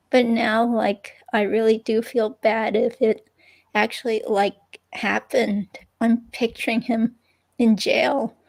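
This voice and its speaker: A woman's voice: a little high, little pitch movement, slow pace, creaky, a little mellow, heavily acted. A woman reading the part of a hurt ex-girlfriend in a police interview, hesitant and rambling, in a conversational dramatic reading. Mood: conflicted, unsure. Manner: hesitant, rambling, filler-laden.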